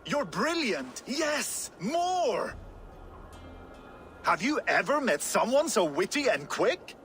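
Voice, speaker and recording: A man's voice: sits high, sing-song, slow, a bit bright, soft background music, exaggerated voice. An actor voicing a boisterous male rival in a rhyming duel, full of exuberant, theatrical exclamations, in a video game. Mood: delighted. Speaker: game character.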